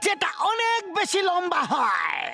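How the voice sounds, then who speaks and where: Very high-pitched, pompous cartoon police inspector. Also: male voice, huge pitch swings, vocal fry, raised voice, over music, exaggerated voice. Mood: pompous, stern. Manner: pompous, drawn-out declarations. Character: stern boastful male police inspector, cartoon lawman. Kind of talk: exaggerated cartoon dub acting.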